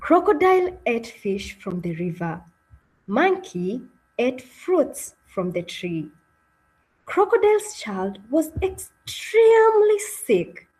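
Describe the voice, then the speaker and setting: A woman's voice: high voice, sing-song, takes it slowly, a little mellow, slightly rough, low-quality recording. A woman hosting an interactive online read-aloud session and narrating the story, encouraging and often checking in with her listeners. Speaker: webinar host and story narrator. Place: online video call.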